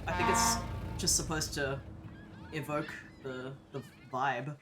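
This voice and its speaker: A woman's voice: low voice, expressive, takes it slowly, noisy background. A woman streamer chatting casually and giggling while playing a video game. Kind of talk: casual let's-play chat.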